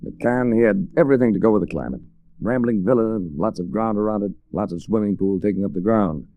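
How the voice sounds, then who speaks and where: A man's voice: fairly low pitch, fast, no room sound, narrow, tinny audio, lightly acted. A man playing a cool, world-weary American adventurer in 1940s radio drama, laconic and dryly wry. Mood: brooding narration. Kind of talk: 1940s radio drama acting and first-person narration.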